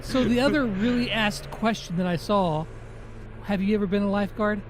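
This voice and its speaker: A man's voice: high voice, moderate pace, slight background noise. A man chatting casually as an interviewer.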